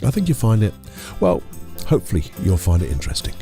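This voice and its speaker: A man with a croaky voice hosting a casual solo podcast, chatty and rambling, correcting himself as he goes. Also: deep voice, huge pitch swings, quick pace, mellow, faint music behind. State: croaky voice from a lingering cold. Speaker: podcast host and hypnotherapist.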